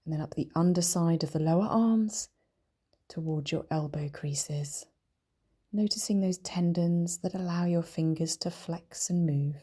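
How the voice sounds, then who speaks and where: Low, breathy woman guiding meditation. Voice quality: soft, breathy, whispery. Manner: gentle, soothing, slow and deliberate with long pauses. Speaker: meditation teacher and guide. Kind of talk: guided meditation, body scan.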